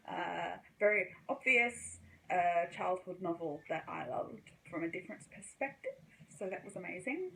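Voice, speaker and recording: A young woman with a bright, forward voice at a middling pitch talks casually about books. She rambles gently and hesitates, letting thoughts trail off. A faint layer of noise sits behind her voice. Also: rough recording. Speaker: book reviewer. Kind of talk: casual book wrap-up chat to camera.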